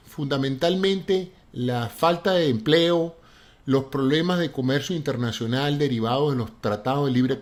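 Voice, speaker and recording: A man hosting an interview, speaking formally and deliberately, halting at times as he introduces and questions. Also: medium pitch. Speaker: interview show host. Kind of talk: interview with long spoken introduction.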